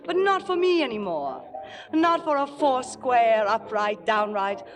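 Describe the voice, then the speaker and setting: Grand witty stage diva, highish voice. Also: female voice, animated, a little slow. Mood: giddy, imperious. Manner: dramatic, imperious, wisecracking. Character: grand, witty, aging stage star, newly married. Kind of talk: vintage radio drama acting. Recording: old radio broadcast with music behind.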